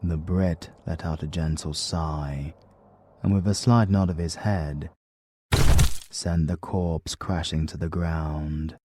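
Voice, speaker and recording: A man with a British accent narrating an audiobook in slow, deliberate storytelling. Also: very deep voice, fairly even intonation, dark tone, a little hiss or hum. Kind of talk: scripted narration.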